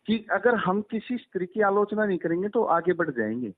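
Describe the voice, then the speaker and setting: A man speaks in a fairly high voice with very lively, swinging intonation. He argues and lectures insistently as a religious teacher, often repeating himself. The sound is narrow and tinny, as on a phone line. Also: fairly quick. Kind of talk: argumentative phone conversation. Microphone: phone call.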